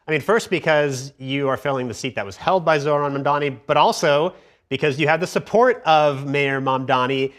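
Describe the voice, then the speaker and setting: High-voiced man hosting a news interview. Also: normal speed. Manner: conversational, probing questions. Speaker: news anchor, host.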